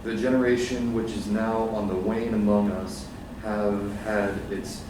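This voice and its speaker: A man's voice: fairly low pitch, level tone. A young male student carefully reading a passage aloud in class. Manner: careful, measured reading with a small stumble. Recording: echoey classroom, distant mic, background noise.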